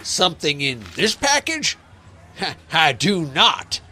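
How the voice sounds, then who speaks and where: An actor playing a shifty farmer villain in a family mystery audio drama, smarmy and evasively polite. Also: male voice, a little high, sing-song, takes it slowly, bright, forceful tone, heavily acted.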